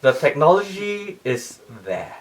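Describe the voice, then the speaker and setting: Animated man explaining, slightly off-mic. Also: on the high side, measured pace, recorded from a distance. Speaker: loudspeaker company CEO, interview guest. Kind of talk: interview explanation of audio design.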